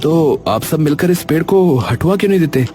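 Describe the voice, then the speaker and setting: An actor playing an earnest young hero in a dramatized story, speaking with urgency and drama. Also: male voice, a little high, lively intonation, normal speed, music in the background, close to an everyday voice. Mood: alarmed questioning. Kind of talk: acted Hindi audio story dialogue.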